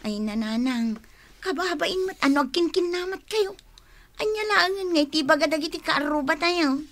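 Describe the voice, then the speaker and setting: A woman's voice: sits high, animated, moderate pace, a bit bright. A woman with an Ilocano accent playing an exasperated, scolding daughter and wife in a radio drama. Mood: dismayed. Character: exasperated grown daughter, nagging wife caring for sick father.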